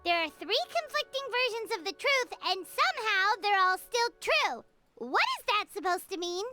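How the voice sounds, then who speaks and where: A woman's voice: extremely high pitch, animated, moderate pace, bright, forceful tone, faint music behind, heavily acted. A woman voicing a tiny, childlike, curious and suspicious pixie companion in a video game. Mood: puzzled. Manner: childlike, refers to herself in third person.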